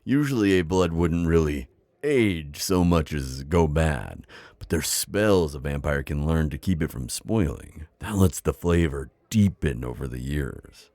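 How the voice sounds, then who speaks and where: A man playing a kindly, wise vampire innkeeper in an intimate ASMR roleplay, deep-voiced, with a folksy, chatty and slightly hesitant manner. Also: expressive, a little slow, a little mellow, no room sound, exaggerated voice. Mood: welcoming, chatty. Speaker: audio roleplay performer.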